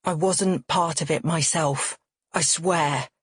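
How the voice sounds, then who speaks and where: A woman's voice: low-pitched, fairly even intonation, takes it slowly, husky, dry recording, clean studio sound, heavily acted. A woman voicing an exasperated, defensive son in an audiobook, protesting his innocence with earnest urgency. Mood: earnest pleading.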